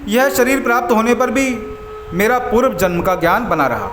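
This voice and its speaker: A man voicing a serene, humble enlightened sage in a devotional story, teaching in a solemn, deliberate manner. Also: high voice, animated. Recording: echoey voice over music.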